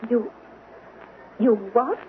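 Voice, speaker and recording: A woman's voice: on the high side, animated, very slow. A woman playing a timid young colonel's daughter in colonial India, stammering and hesitant, in old-time radio drama style. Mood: shocked, flustered. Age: young adult. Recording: tinny band-limited old radio broadcast, hiss.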